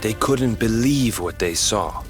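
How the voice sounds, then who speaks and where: A young island fisherman in a video game. His voice is fairly low, with a colloquial, earnest storyteller's delivery that carries some burden. Music runs behind his words. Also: expressive, slow pace. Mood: grave, earnest. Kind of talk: video game character acting.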